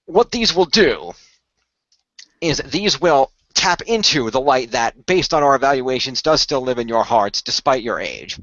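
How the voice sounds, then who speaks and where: A man playing an earnest male leader who recruits heroes in a radio play, explaining things in a halting, deliberate way. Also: medium pitch, sing-song, a bit bright, dry recording, highs cut off. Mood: earnest, persuasive. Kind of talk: improvised radio play dialogue.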